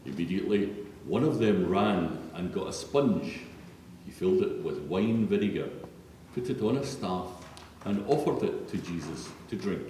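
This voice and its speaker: Low-voiced male scripture narrator, very echoey church. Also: animated, normal speed, dark tone. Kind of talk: solemn church scripture reading. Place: large echoey church interior. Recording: distant, very echoey church, faint noise.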